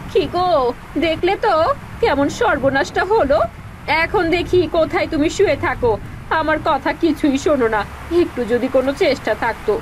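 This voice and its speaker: A woman's voice: high-pitched, normal speed, a little hiss or hum, lightly acted. A woman playing a worried, nagging poor village wife in a cartoon, with an anxious, complaining manner. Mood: scolding. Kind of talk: cartoon dialogue acting.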